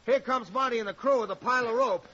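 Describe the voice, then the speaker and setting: A young airman in an old radio play, high lively husky voice, muffled old recording. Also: fast, full character voice. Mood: urgent, steady. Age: young adult. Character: earnest young college-graduate airman from Pennsylvania. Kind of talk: old-time radio drama acting.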